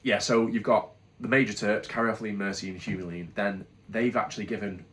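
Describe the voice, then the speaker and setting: A man's voice: fairly low pitch, fairly even intonation, fairly quick, fairly bright tone. Bunged-up British man, casual reviewer. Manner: chatty, candid, rambling with restarts. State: congested from a cold. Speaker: product reviewer, channel host.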